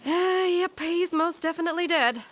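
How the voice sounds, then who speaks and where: A woman's voice: very high-pitched, normal speed. A woman playing a wry, chatty narrator in a murder mystery audio drama, with playful asides. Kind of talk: playful, conversational story narration.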